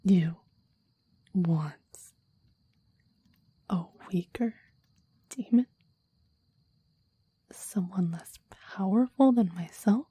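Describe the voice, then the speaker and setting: A woman playing a clingy, deadly demon devoted to a master, in a breathy ASMR roleplay whisper with formal, old-fashioned phrasing. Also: medium pitch, extremely slow, pauses often, mellow, no echo, heavily acted. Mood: jealous, disbelieving. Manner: formal, archaic, reverent phrasing.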